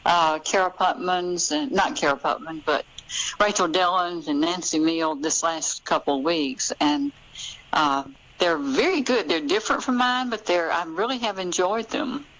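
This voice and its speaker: A woman with a deep-set voice and a Southern American accent. She talks casually, like a novelist being interviewed. Her answers come haltingly, in short thought-out-loud phrases. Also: low-quality recording. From Memphis, southern United States.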